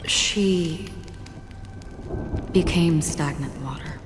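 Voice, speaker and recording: An actress voicing a melancholy, detached female wanderer in a game, reflective, stoic and softly subdued. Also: low voice, extremely slow, noisy background. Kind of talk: dramatic game dialogue. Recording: long hall reverb, music behind. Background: music.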